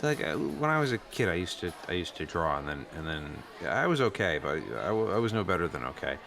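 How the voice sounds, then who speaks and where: A man plays an earnest teenage boy who is new at school, in an improvised radio play. His voice is very low and gravelly, and he talks haltingly in casual slang over clearly audible background noise. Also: sing-song, full character voice. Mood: earnest, self-doubting. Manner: halting, filler-heavy, earnest teen slang.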